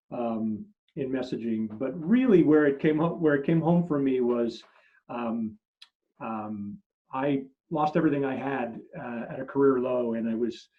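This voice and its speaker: Animated German-native man on a muffled video call. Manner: animated storyteller, many restarts, drops low at phrase ends. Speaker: marketing expert guest. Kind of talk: interview answers, storytelling. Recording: muffled lo-fi audio.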